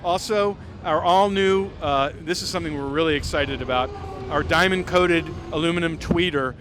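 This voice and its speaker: A man's voice: a little high, moderate pace, background noise. A man presenting products off the cuff as a company representative, with an enthusiastic, salesy delivery. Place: trade show. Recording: music behind the voice.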